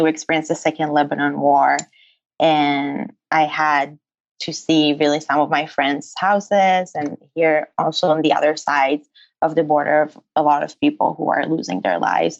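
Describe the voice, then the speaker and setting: Guest voice: young Israeli-raised woman, earnest and chatty, low with vocal fry, dry dull lo-fi sound. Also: dry recording. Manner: earnest, many fillers, frequent laughter. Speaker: guest, incoming medical student. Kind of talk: casual interview answers.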